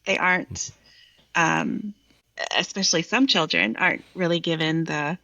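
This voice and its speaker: A woman's voice: low-pitched, animated, a little slow, sharp tone, dry recording, highs cut off. A woman guest on a poetry podcast talking in a thoughtful, articulate, explanatory way about literature. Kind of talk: reflective literary conversation with some poem reading.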